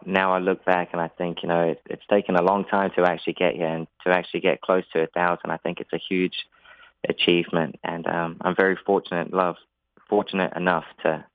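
A man's voice: deep voice, vocal fry, dry recording. A man in his twenties with an English accent, a jockey answering interview questions modestly and a little hesitantly over the phone. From England. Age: 20s. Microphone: telephone. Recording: muffled, narrow phone line.

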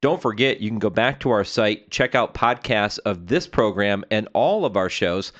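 A man hosts a sports radio interview in a polished announcer's style. His voice sits on the low side. The sound is slightly dulled, as on a broadcast feed. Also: expressive, normal speed, fairly bright tone, highs cut off. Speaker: radio show host.

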